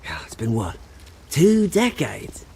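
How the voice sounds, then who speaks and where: A man's voice: mid-range voice, huge pitch swings, slow pace, vocal fry, a little mellow, slight background noise, exaggerated voice. An actor with a British accent playing a cocky, bitter, theatrical fallen rich man in a video game. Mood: cheeky, brash. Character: bitter, scrappy fallen rich man, childhood friend turned volatile.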